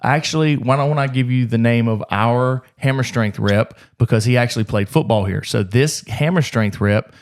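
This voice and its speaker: A man in a podcast chat, telling the tale of his early business in a brisk, rambling way. His voice sits at an average pitch, and he throws in a curse now and then as he goes. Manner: fast, rambling, swears freely. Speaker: gym owner, podcast host. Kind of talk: casual podcast storytelling.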